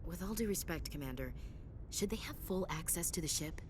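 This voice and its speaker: A woman's voice: fairly low pitch, lively intonation, brisk, a little mellow, a little hiss or hum, lightly acted. An actress playing an earnest, wary navy chief in a game, plain-spoken and deferential to her superior. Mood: concerned.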